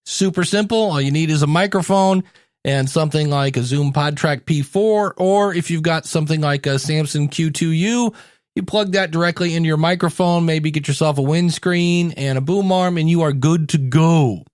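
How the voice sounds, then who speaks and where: An American man with a fairly high voice talks as a podcast host and coach. He explains things conversationally, speaking straight to the listener. The sound is dry and close, as if at a desk microphone. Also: normal speed, darkish tone, no echo. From United States, Ohio. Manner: conversational, addresses the listener directly. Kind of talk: solo podcast talk, teaching.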